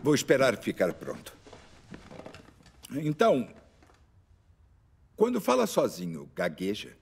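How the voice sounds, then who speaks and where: A man's voice: mid-range voice, vocal fry, slightly rough, heavily acted. A male actor with a Brazilian accent dubbing an unflappable older speech therapist, informal, probing and wryly insistent. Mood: wry, confident. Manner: calm, measured, wry, insistent. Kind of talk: film dubbing in Portuguese.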